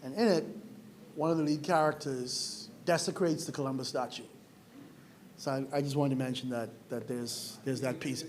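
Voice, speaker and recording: A man, a playwright in the audience, offering a hesitant, soft-spoken comment at a panel. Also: mid-range voice, fairly even intonation, moderate pace. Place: university lecture hall.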